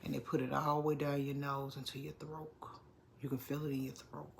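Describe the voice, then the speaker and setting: A woman's voice: very deep voice, little pitch movement, fairly quick, creaky, a little mellow, slight background noise. A middle-aged woman with a congested chest, chatting casually to her viewers, repeating herself and calling them "y'all". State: congested, under the weather. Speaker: vlogger. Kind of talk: casual vlog talk to viewers.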